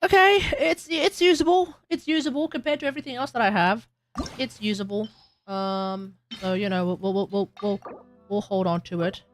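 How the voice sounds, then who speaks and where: A young adult Australian game streamer, rambling casually while playing, musing aloud and chatting to viewers with sudden loud outbursts. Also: mid-range voice, normal speed, soft background music.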